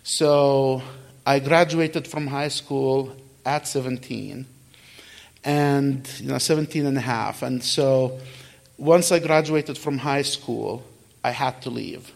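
Gravelly middle-aged man telling his story. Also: mid-range voice, takes it slowly. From Kuwait. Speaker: guest speaker answering audience questions. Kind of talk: conversational personal storytelling.

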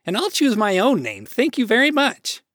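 A man's voice: a little high, big pitch swings, moderate pace, dry recording, studio quality, pitched above the performer's own voice. A man voicing a defiant, critical, sardonic woman who complains in a casual way, in a storybook reading. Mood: indignant. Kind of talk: short story read aloud.

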